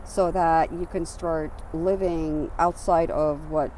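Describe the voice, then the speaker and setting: A woman's voice: deep voice, fairly even intonation, slow pace, mellow, rough recording. A woman in her fifties from Romania whose first language is Hungarian, talking earnestly and with animation in a street interview, sometimes repeating words for emphasis. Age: 50s. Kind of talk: street interview, casual talk. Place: outdoors on an urban trail. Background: faint traffic.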